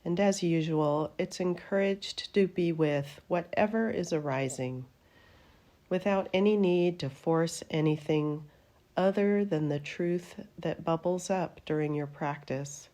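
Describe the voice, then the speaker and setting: Slow, low-voiced woman guiding meditation. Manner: soothing, gentle, unhurried phrases with trailing endings. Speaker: yoga nidra meditation teacher. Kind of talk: guided meditation.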